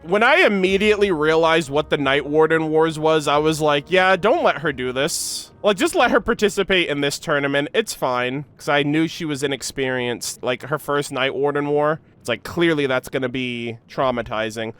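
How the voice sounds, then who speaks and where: A young man giving casual, excited reaction commentary in slangy, gushing, animated fan talk. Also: on the high side, normal speed, few pauses, clean tone, faint music behind. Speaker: reaction video creator.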